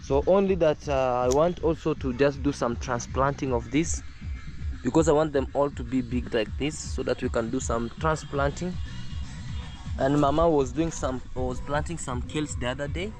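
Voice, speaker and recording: A man's voice: medium pitch, moderate pace, darkish tone. A young man from Kenya chatting casually in a vlog from his rural home garden, full of fillers and restarts. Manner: hesitant, many fillers and restarts. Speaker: vlogger. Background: distant music.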